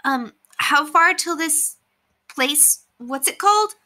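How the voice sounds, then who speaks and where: A curious young boy from a storybook, played by a woman reader. He is hesitant and casual, questioning in a high, very bright voice. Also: animated, slow pace, heavily acted. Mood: unsure, curious.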